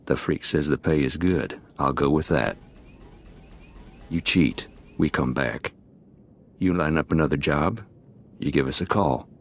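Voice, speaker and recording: Very deep, gravelly gangster. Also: male voice, measured pace, long pauses, exaggerated voice. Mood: wary. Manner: folksy, slangy swagger. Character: rough, sly male prison gang boss. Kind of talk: video game voice acting.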